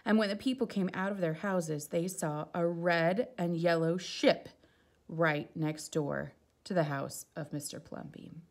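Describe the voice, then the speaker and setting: A woman reads a picture book aloud for children in a low voice with noticeable creak. Her delivery is expressive, and she shifts between storybook narration and casual remarks on the pictures. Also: a little slow. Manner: expressive, exclaiming softly at the pictures.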